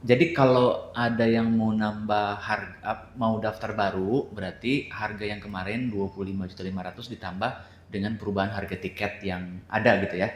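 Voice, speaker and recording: A man with an Indonesian accent casually moderating a group question session, teasing and quick to chuckle. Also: on the low side, steady tone, slight background noise. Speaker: moderator of a tour briefing. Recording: echoey room pickup.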